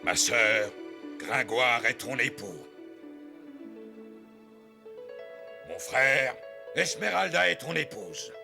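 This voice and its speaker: An actor playing a brash, mocking leader of beggars in a French cartoon dub, gravelly and theatrical. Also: male voice, mid-range voice, big pitch swings, takes it slowly, over music, full character voice. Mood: solemn. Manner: theatrical, taunting.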